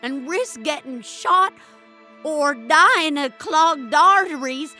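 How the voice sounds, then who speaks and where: A woman's voice: high-pitched, lively intonation, slow pace, pushed and bright, soft background music, voice pushed up, exaggerated voice. Woman acting an impassioned, nostalgic elderly woman. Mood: defiant, angry. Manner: emphatic, wistful, foul-mouthed. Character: angry, nostalgic elderly woman ranting at a digital world. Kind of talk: dramatic audio fiction monologue.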